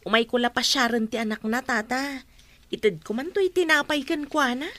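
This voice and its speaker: Woman acting high-voiced, caring Ilocano friend. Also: moderate pace, a bit bright, lightly acted. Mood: concerned. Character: caring, sympathetic young female friend. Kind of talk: radio drama acting.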